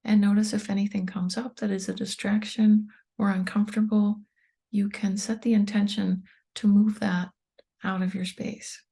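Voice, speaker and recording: A woman guides a meditation in a breathy, mellow voice. Her delivery is soft and calm, staying on an even, gentle line with little rise or fall. She leaves unhurried pauses between short instructions. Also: mid-range voice. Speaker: meditation guide, tapping coach.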